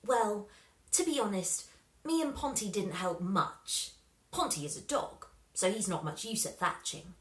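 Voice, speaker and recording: A woman reading a children's story aloud with expressive, dramatic emphasis. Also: medium pitch, a little slow, roomy sound. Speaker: storybook reader.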